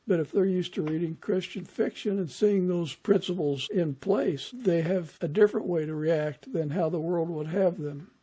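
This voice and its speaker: A man hosting an interview podcast, talking in a measured, conversational way with pauses mid-sentence. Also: a little high, dark tone, husky, poor audio. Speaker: podcast host.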